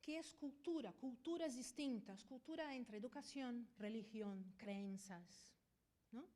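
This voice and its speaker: A middle-aged woman from Brazil, a Portuguese native speaker who speaks Spanish picked up in Spain, giving a conference talk as a veterinarian and professor, often checking in with a quick "ok?". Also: fairly high pitch, warm tone. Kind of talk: conference presentation.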